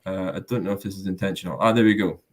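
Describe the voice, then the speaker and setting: Deep-voiced man hosting a tasting. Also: steady tone, rapid-fire. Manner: self-deprecating, hesitant with many ums. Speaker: host of a live whisky tasting. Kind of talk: casual hosting chat.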